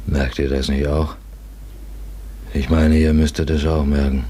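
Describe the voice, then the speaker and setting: Really deep-voiced troubled farmer dad, brooding, radio play, bit of noise. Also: male voice, level tone, measured pace, dark tone. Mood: puzzled, uneasy. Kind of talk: German radio drama acting.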